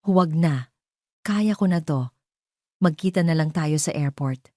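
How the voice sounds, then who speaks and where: A woman voicing a calm, resolute young wife in an audio drama, speaking steadily and firmly. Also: low voice, unhurried, no echo, studio quality. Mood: calm resolve.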